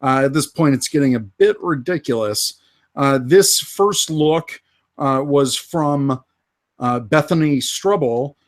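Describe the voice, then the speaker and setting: A man co-hosting a live fan podcast, casually going through toy and movie news with many pauses and fillers. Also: mid-range voice. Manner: unhurried, lots of uh fillers. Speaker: podcast co-host.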